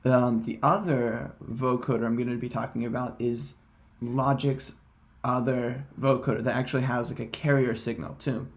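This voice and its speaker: A man presenting a casual software tutorial in hesitant, halting, unscripted phrasing. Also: medium pitch, little pitch movement.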